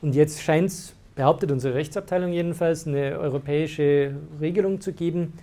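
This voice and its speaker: Man lecturing, fairly high pitch, hesitant with fillers, explaining in a lecture room. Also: normal speed, warm tone. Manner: hesitant, many fillers and restarts, laughs at times. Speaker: university lecturer answering student questions.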